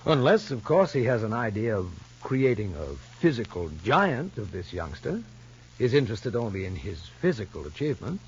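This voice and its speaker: An actor playing a measured, probing child psychologist in a 1950s soap opera, formal and deliberate. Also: male voice, fairly low pitch, sing-song. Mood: firm, reasoning. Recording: muffled vintage broadcast with faint noise.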